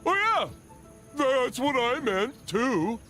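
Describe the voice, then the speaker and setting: A man's voice: very high voice, big pitch swings, sharp tone, soft background music, heavily acted. A man voicing a dim, cheerful, guileless cartoon sidekick in a video game. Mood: dopey, enthusiastic. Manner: slow-witted, guileless. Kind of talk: animated video game voice acting.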